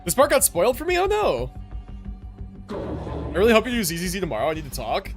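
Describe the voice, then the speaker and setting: Young man livestreaming, animated pitch swings. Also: a little fast. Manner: slangy, laid-back reactions. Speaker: game livestreamer. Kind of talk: casual livestream commentary, reacting to a game. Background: game music.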